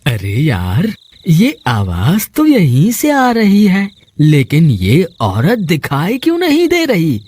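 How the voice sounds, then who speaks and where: A man's voice: on the high side, wildly swooping pitch, slow pace, a little mellow, husky, no room sound, heavily acted. A man playing a gullible, lovestruck and easily frightened villager, exclaiming melodramatically in a cartoon horror comedy. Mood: eager, puzzled.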